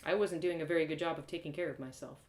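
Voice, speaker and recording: A woman reading a first-person fantasy story aloud in a dramatic, expressive way. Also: sits low, racing. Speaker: storyteller, author reading live. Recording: roomy echo.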